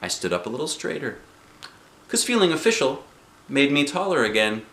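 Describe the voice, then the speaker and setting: An excitable, boastful little first-grade girl , voiced by a man reading a storybook, narrates her journal with earnest drama. The delivery swoops up and down with drawn-out emphasis, in a roomy space. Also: a little high, voice pushed up. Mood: happy. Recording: roomy home recording.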